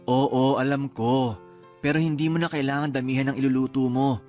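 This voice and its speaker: A man's voice: medium pitch, fairly even intonation, normal speed, a little mellow, soft background music, lightly acted. An actor with a Filipino accent playing an easygoing young husband and father in a radio drama. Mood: calm, reasoning. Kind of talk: Tagalog radio drama acting.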